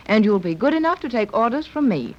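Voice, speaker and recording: A firm, reassuring leader with a lively voice, old radio. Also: female voice, a little high, fairly quick. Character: Patricia, firm expedition leader and mother. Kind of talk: 1930s radio acting. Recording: muffled 1930s radio recording.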